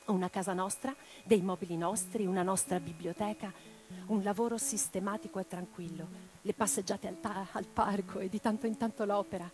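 A woman's voice: mid-range voice, fairly even intonation, brisk, lightly acted. An actress playing an impassioned revolutionary woman in a radio play, declaiming with theatrical intensity. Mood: earnest, intimate. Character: impassioned revolutionary woman orator and letter-writer. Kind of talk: theatrical dramatic monologue. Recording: guitar music behind the voice. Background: plucked guitar music.

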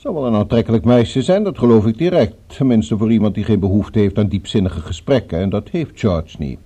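A cultured, dangerous gentleman villain of radio drama. His voice is very soft-edged and dark, slightly husky, with musical, lively intonation. He speaks formally and threatens with perfect politeness. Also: fairly low pitch, fairly quick. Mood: urbane, probing. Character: courteous, menacing male villain with a revolver, cultured.

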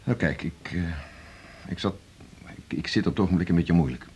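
An actor playing a domineering, condescending senior hospital doctor in a radio drama. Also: male voice, low-pitched, a little fast, a little mellow, a little hiss or hum, close to an everyday voice. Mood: awkward, subdued. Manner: pointed, condescending, commanding. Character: domineering, prying male senior hospital doctor, needy underneath. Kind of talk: 1980s Dutch radio drama acting.

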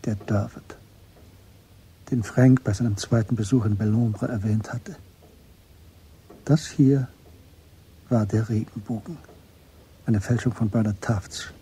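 Very mellow, measured male narrator. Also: on the low side, fairly even intonation, slightly rough. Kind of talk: scripted literary narration in a German radio play.

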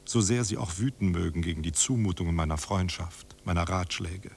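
A man's voice: low-pitched, brisk, gravelly, darkish tone, a little hiss or hum. A man in a German radio drama playing a refined, idealistic friend who pleads solemnly and formally, as if reading a letter aloud. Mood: earnest admonishing. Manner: solemn, imploring, rhetorical, formal.

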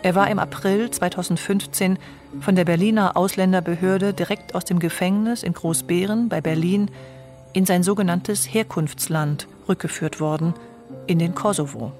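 A woman narrating a radio documentary feature in a calm, measured reading style. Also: on the low side, soft background music. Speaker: narrator and author.

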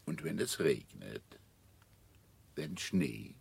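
Radio play role: old bank director, resigned and pedantic, very low creaky voice with lively swings. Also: male voice, slow, full character voice. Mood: wistful, terse. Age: older. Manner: terse, dry, formal. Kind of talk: absurdist radio play acting.

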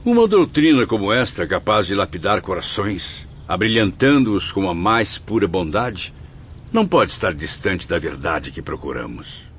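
An actor playing a humble, courteous, devout male spirit in a radio drama, with a Brazilian accent and an expressive, formal delivery. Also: low voice, normal speed, a little hiss or hum. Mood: earnest reflection. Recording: dull lo-fi audio, night insects behind.

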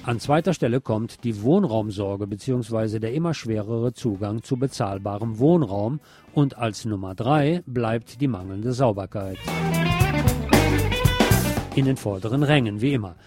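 A man's voice: fairly low pitch, unhurried, loud music behind the voice. A man presenting local news on the radio in a scripted reading style.

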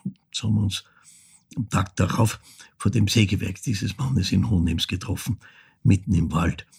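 Casting: a reflective older Austrian man, very husky, halting delivery, for a dramatic monologue. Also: deep voice, heavily acted. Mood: hushed remembering. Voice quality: gravelly, husky. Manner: halting, deliberate, hushed, broken phrasing. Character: reflective ageing man recounting his wartime childhood. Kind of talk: literary monologue, dramatic storytelling.

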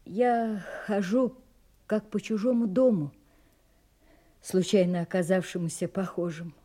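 Actress doing sad proud young lady in an audio drama, slow n husky, grave, holds it all in. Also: mid-range voice, steady tone, mellow, natural voice. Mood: melancholy, offended. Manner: grave, restrained, deliberate.

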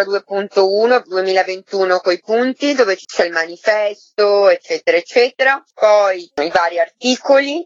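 A woman being interviewed on radio, thinking aloud in a halting, reflective way and often adding tag questions. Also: medium pitch, no room sound, dull audio. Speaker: interviewed artistic coordinator of a theatre artists' project.

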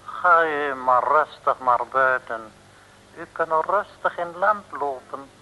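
Menacing henchman, formal broken Dutch, unhurried, bright voice, vintage radio serial. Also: a little high, highs cut off, full character voice. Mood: quietly menacing. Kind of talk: 1960s radio serial acting. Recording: noisy old radio recording.

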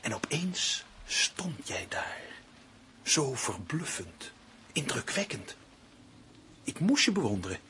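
A man's voice: mid-range voice, very animated, slow, pauses often, full character voice. An actor playing a bitter, vengeful male writer in a Dutch radio play, with theatrical, intense delivery. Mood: anguished, bitter. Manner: theatrical, intense monologue swinging from hushed to shouting. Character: bitter, vengeful male writer turned murderous, domineered by partner. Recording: noisy old radio play recording.

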